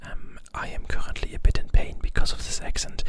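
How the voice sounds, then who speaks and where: A young man from Germany with a German accent, whispering an informal ASMR explanation to viewers and deliberately leaning on hard, overpronounced consonants. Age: young adult. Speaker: ASMR creator.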